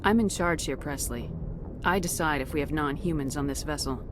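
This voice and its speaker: A woman acting a firm, low-voiced starship commander. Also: background noise, lightly acted. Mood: stern. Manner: commanding, clipped, measured delivery. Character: firm, resolute young female starship commander. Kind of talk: video game cutscene acting. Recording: game music behind the voice.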